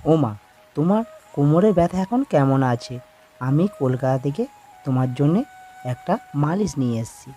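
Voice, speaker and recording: A man's voice: fairly high pitch, expressive, moderate pace, long pauses, mellow, soft background music, lightly acted. A man voicing an earnest, eager village son back from city work in a cartoon story. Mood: searching, eager.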